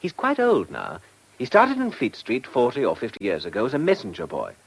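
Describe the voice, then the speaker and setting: An actor playing an earnest, polite but exasperated village campaigner in a radio drama, well-spoken with an English accent. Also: male voice, medium pitch, huge pitch swings, fairly quick, creaky, breathy edge, heavily acted, poor audio. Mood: dry, weary. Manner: well-spoken, courteous, indignant. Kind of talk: British radio drama acting.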